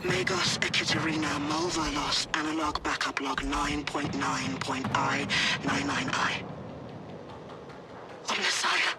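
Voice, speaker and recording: A man's voice: fairly high pitch, level tone, unhurried, over loud music. A man playing a terrified, haunted tech-priest recording an audio log in a video game, fearful and dramatic. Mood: dread. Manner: fearful, dramatic, swinging between dread and recital.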